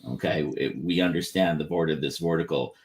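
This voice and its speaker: A man explaining his inventions on a casual livestream, rambling and halting with many restarts. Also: rumbling low, steady tone, a little mellow. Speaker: inventor presenting research.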